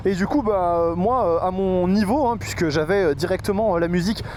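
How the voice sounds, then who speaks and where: High-voiced man vlogging from a motorbike. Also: a little fast, a little mellow. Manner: chatty, many filler hesitations. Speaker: motorcycle vlogger reviewing gear. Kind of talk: casual product review while riding. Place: outdoors on a motorcycle in traffic. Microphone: helmet-mounted microphone. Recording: noisy outdoor road recording.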